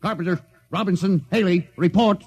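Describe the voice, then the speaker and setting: An actor playing a commanding, embattled spaceship captain in a 1950s radio drama, delivered with a forceful, urgent, dramatic manner. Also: male voice, sits high, normal speed, creaky, husky, full character voice. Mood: frantic. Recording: noisy vintage radio broadcast.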